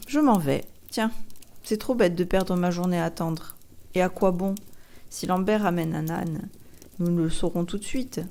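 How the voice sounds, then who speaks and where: A woman's voice: lowish voice, warm tone. A woman voicing a good-natured, sensible young boy in a storybook, plain-spoken and reasoning.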